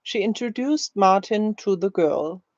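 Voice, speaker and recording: A woman language teacher reads short drill phrases slowly and deliberately, leaving careful space between them. Her voice sits at an average pitch. The recording is very dry and a little dull. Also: expressive, darkish tone, dry recording. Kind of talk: slow, scripted language-learning drill, read aloud.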